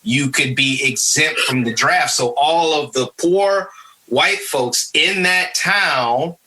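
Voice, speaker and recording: A man's voice: fairly high pitch, slow pace, pushed and bright, poor audio. An American man from Michigan hosting a casual history podcast, explaining things in an emphatic conversational way. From Michigan, United States. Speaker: podcast host.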